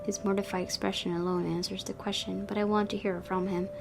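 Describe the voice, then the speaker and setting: A woman's voice: medium pitch, little pitch movement, fast talker, vocal fry, noisy background. A woman hosting a gaming video, giving casual commentary and reading the story narration aloud. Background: game music.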